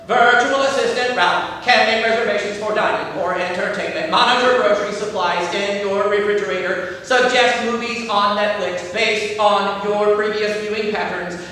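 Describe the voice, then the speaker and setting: A man's voice: high voice, moderate pace, few pauses, pushed and bright. A man acting as a polite, literal-minded AI assistant in a stage comedy, speaking in a formal, announcer-like way. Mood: formal, helpful. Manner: formal, announcer-like, over-precise. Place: stage in a theatre hall. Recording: distant echoey theatre hall, faint noise.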